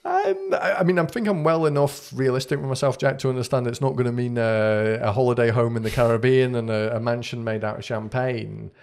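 A man's voice: medium pitch, animated, fairly quick, vocal fry, hardly any pauses, a little mellow. A man in his forties with a mixed British accent and a Scottish twang, chatting informally and self-deprecatingly as a podcast guest, close to a studio mic. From Scotland. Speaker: guest, agile consultant. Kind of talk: casual podcast interview. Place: small acoustically treated podcast studio. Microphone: close studio microphone on a boom arm.

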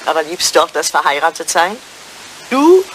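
A woman's voice: deep voice, very animated, moderate pace. An older woman acting in a radio play as a resolute, candid lady turning down an aging suitor. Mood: exasperated, candid. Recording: hissy, noisy old radio play recording.

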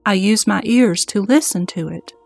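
A woman voices an earnest disciple in a scripture audio drama, formal and reverent in manner. The voice has a gravelly creak and sits close and dry, with music behind it. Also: medium pitch, normal speed, no room sound. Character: earnest, reverent male disciple questioning his master. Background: background music.

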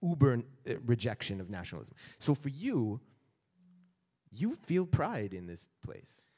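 A man's voice: medium pitch, big pitch swings, mellow, husky. Wry bilingual man on a panel. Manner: joking, quick interjections, code-switching. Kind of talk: panel discussion. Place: stage in a theatre, panel in front of an audience. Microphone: handheld mic.

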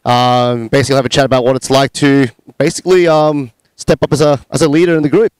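Young man, host, smooth clean voice, dry close sound. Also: mid-range voice, little pitch movement, fast talker, no room sound, low-quality recording. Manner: hesitant, many "uh" fillers. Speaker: larp club leader, host. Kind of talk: casual group interview. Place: outdoors at a convention, sunny courtyard. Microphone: handheld mic.

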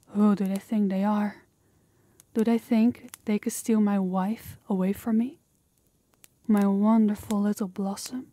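A woman performs a possessive wife in an audio roleplay, devoted and dangerous. Her dark, soft-edged voice stays very even, adoring yet threatening. The sound is dry and close. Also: medium pitch, dry recording, close to an everyday voice. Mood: tender devotion.

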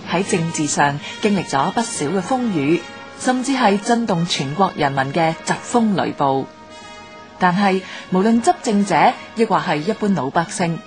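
A woman with a Hong Kong Cantonese accent reads a scripted documentary narration in a formal, measured way. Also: lowish voice.

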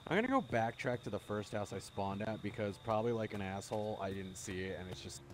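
A man's voice: fairly low pitch, expressive, fast talker, noisy background. A man chatting casually during online play in a dry, mock-polite joking way, with music behind. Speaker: gamer in online group play. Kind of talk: casual gameplay banter.

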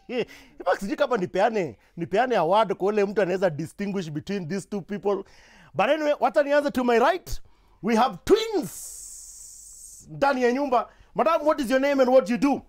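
A man with a Kenyan accent hosting a TV quiz show in a studio, laughing often and mixing English with Swahili slang. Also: very high-pitched. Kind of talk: lively game show hosting. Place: indoor TV studio.

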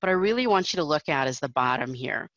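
A woman's voice: low-pitched, fast talker, dry recording, dull audio. Woman explaining slides in a webinar. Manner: explanatory, steady, frequent "um" and "so". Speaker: presenter. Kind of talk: webinar presentation over slides.

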